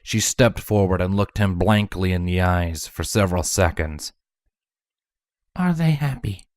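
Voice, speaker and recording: A man's voice: deep voice, steady tone, normal speed, no echo, clean studio sound. A man reading scripted fantasy fiction aloud as a storybook narrator. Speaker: narrator, fan fiction reader.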